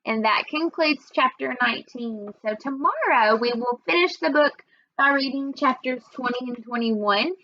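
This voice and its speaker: A woman's voice: high-pitched, moderate pace, bright, forceful tone. A woman reading a children's book aloud as narrator, with a slightly muffled sound. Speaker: read-aloud reader.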